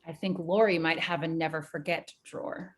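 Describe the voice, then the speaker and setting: A woman acting a bold, sharp, streetwise investigator in a screenplay table read, with punchy colloquial delivery. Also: deep voice, steady tone, normal speed, close to an everyday voice. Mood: knowing.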